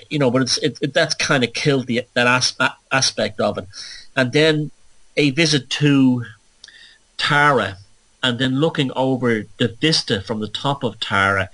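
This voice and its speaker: A man's voice: mid-range voice, fairly bright tone, low-quality recording. A man from Ireland with an Irish accent, hosting a podcast and talking through a digressive solo monologue, often correcting himself. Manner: musing, digressive, self-correcting. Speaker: podcast host.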